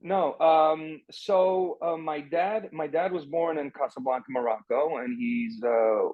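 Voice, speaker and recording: A man's voice: mid-range voice, no echo, highs cut off. A man on a video call, telling a long personal story with a lot of fillers. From Germany. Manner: rambling, many fillers. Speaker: actor, interview guest. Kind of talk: casual conversation. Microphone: laptop video call.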